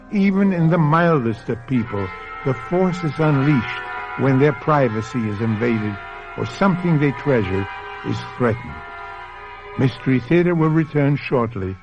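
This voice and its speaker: A dull old radio broadcast with faint music carries a man's dramatic narration. He phrases deliberately and suspensefully, with a very lively rise and fall. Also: mid-range voice. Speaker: host and narrator.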